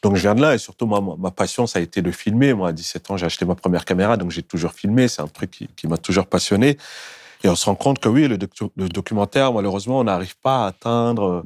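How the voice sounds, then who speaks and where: A man, a French film director, chatting casually in an interview, emphatic and relaxed, often answering with quick affirmations. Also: lowish voice, no room sound, clean studio sound. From France.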